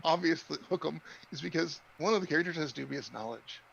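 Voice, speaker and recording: A man's voice: on the high side, fast talker, gravelly, a bit bright, highs cut off, a little hiss or hum. A man acting as game master, improvising narration and chatting with players at a tabletop game.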